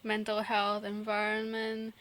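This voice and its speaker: A woman's voice: mid-range voice, completely flat delivery, unhurried, fairly bright tone, dry recording. A young woman from Singapore, chatting casually as a podcast co-host and giggling often. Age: young adult. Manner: chatty, giggly, trails off and restarts. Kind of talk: casual chat.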